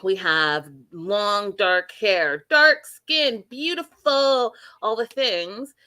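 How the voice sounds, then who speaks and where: A woman's voice: medium pitch, drawn-out words, sharp tone, dry recording. A woman hosting a casual reality TV recap, chatty and emphatic, talking straight to her viewers. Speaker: host.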